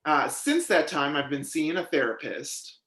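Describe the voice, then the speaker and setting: A man interprets a witness's testimony aloud in the first person, keeping a measured, even delivery. His voice sits a little high for a man. The hearing room adds a noticeable echo. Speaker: sign language interpreter voicing a deaf witness.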